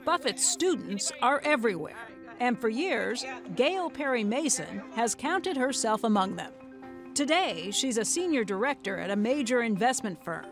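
A woman's voice: on the high side, very animated, normal speed, music in the background. An American woman reading scripted documentary narration.

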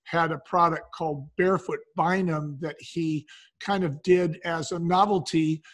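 An older man with a fairly high voice speaks as an interview guest. He tells stories in a conversational way and voices the quotes of the people in them. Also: fairly even intonation, unhurried, a bit bright. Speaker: podcast guest, brand co-founder.

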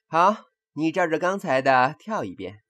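Woman doing bossy exasperated guy boss, lowish voice, very up and down, comic drama w music. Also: big pitch swings, a little slow, a bit bright, full character voice. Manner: commanding, mocking. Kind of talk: comic audio drama acting.

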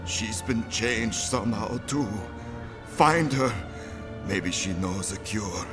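An actor voicing a tormented male scientist turned vampire in a video game, halting, pained and pleading. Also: fairly low pitch, lively intonation, over music. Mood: desperate. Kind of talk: video game cutscene acting.

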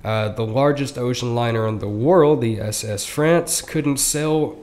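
A young man co-hosting a podcast, explaining history in casual chat with frequent fillers and quick asides. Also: mid-range voice, a little mellow. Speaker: podcast co-host.

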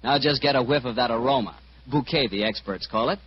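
A man's voice: medium pitch, fairly quick. A man with an American accent announcing in polished, salesmanlike 1940s radio style. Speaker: announcer and sponsor pitchman. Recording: muffled, hissy old radio broadcast.

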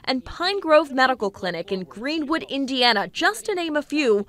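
A woman in her thirties reads an investigative news script with a measured, clear delivery. Her voice sits a little high and sounds bright and crisp. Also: very animated. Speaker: investigative reporter.

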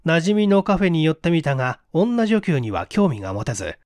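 Man, audiobook narration, literary mystery, lively melodic range, very dry recording. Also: fairly high pitch, fairly quick, dry recording. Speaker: audiobook reader.

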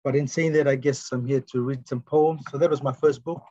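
A man in his forties with a Fijian accent, talking casually and haltingly as he reflects on his work as a poet in an interview. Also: medium pitch, fairly even intonation, mellow. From Fiji. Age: 40s. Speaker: guest, poet. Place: small room indoors, on a video call. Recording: dull, roomy video-call audio.

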